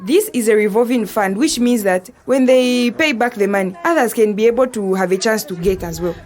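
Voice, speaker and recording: A woman with a Zambian accent playing a bright, respectful young woman who patiently explains things in an educational audio drama. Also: medium pitch, brisk, natural voice. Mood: earnest, explanatory.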